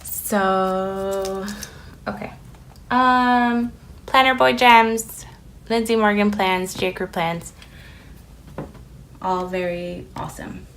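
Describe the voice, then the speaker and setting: Chatty woman, slow and rambling. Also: medium pitch. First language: Spanish. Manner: rambling, chatty, with many fillers. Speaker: planner vlogger. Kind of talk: casual chat while decorating a planner. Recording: steady background noise with paper crinkling. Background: sticker and paper crinkling.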